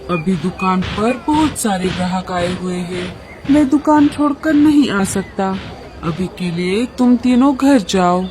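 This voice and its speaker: A woman's voice: mid-range voice, a little mellow, a little hiss or hum. A woman voicing a busy, kindly father crow who patiently explains things in a cartoon story.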